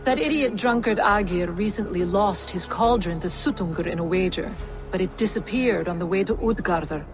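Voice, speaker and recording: An actress playing a cool, condescending giantess who bargains in a fantasy video game. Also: female voice, medium pitch, normal speed, music in the background, natural voice, low-quality recording. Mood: cold. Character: cold, scheming giantess offering a deal. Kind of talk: video game cutscene acting.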